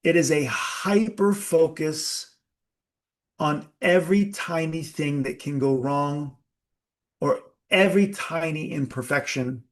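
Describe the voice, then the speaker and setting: Slow, emphatic man leading a workshop. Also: a little high. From United States. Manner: slow, emphatic, asks the audience questions. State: sweating. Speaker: workshop leader. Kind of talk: live group workshop talk.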